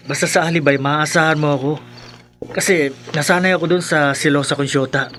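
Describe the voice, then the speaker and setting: An actor playing a glib, loyal young sidekick in a radio drama. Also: male voice, a little high, fairly even intonation, moderate pace, a bit bright, a little hiss or hum, natural voice. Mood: cocky, joking. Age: young adult.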